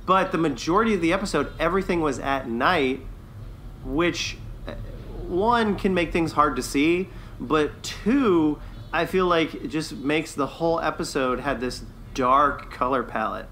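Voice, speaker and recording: Bright-voiced, higher-pitched man chatting. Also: a little hiss or hum. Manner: informal, loose, halting delivery. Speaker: podcast co-host. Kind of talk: casual chat.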